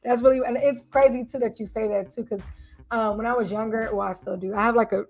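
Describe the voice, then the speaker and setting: A woman with a deep voice for a woman, hosting a casual podcast interview and cheering her guest on. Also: fairly even intonation, fast, vocal fry, a little mellow. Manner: enthusiastic, affirming. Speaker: podcast host.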